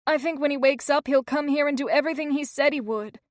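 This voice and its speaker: A scared teenage girl on the run, as a woman reads her, talking in rough, broken grammar. Her voice sits high and tight in a dry, close storybook reading. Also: fast talker, no echo, close to an everyday voice. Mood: frightened. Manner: rough, ungrammatical speech. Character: frightened teenage girl, battered runaway.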